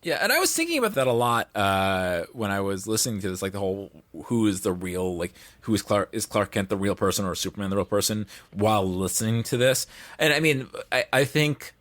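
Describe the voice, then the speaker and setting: A man's voice: fairly low pitch, big pitch swings, a little fast, fairly bright tone, no echo. A man speaking as a podcast guest in casual, reflective discussion, phrasing his thoughts tentatively. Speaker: podcast guest, audio drama producer.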